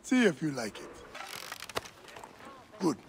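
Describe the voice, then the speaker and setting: A man's voice: fairly high pitch, big pitch swings, slow, noisy background, exaggerated voice. An actor playing a weary, kindly village priest who gives fatherly advice. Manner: fatherly and advising.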